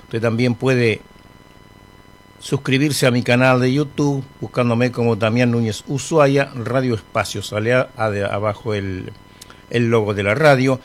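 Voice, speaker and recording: A middle-aged man with an Argentine accent, hosting a radio music show in a relaxed, rambling way between songs, with bits of humour. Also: medium pitch, measured pace. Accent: Argentine Spanish. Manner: chatty, rambling, friendly with humour. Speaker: radio host. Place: radio studio.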